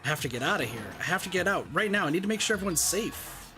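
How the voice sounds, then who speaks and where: An earnest schoolboy narrator, voiced by a man with a lightly high pitch. He speaks quickly and dramatically, like a game hero under strain. Faint music runs behind him. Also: lively intonation, bright, forceful tone. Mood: distressed. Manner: dramatic, intense. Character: earnest, anxious teenage schoolboy hero narrating his ordeal. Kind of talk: reading visual novel aloud in character.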